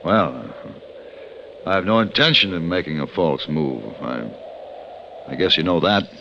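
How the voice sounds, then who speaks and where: An actor playing a cool, guarded gunfighter in an old radio western, speaking in a measured, careful way. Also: male voice, low-pitched, lively intonation, sharp tone, slight background noise, exaggerated voice. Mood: uneasy, cautious. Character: cool, guarded gunfighter bluffing among outlaws. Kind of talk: old-time radio western acting and narration. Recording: dull 1950s radio recording.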